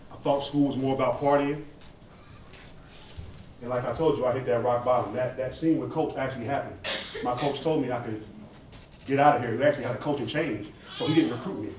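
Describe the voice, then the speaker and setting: A man's voice: mid-range voice, brisk, long pauses, a little mellow, far from the mic. A man narrating his own story casually to a live audience from a stage, heard over a PA. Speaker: narrator of an autobiographical stage play. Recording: echoey stage PA recorded from afar, faint noise.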